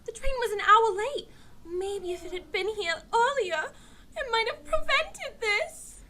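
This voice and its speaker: A woman's voice: very high-pitched, animated, a little slow, bright, forceful tone, slight background noise, heavily acted. An actress playing a gushing, melodramatic young woman in a radio murder mystery. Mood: anxious. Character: gushing, theatrical young nurse, secretly a murderess. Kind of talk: old-time radio murder mystery acting.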